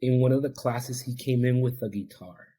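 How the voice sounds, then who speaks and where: A man's voice: mid-range voice, normal speed, mellow, breathy edge. A man whose first language is Spanish, an addiction counselor telling his own story as a podcast guest in an earnest, heartfelt, reflective way. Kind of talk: podcast interview, personal storytelling.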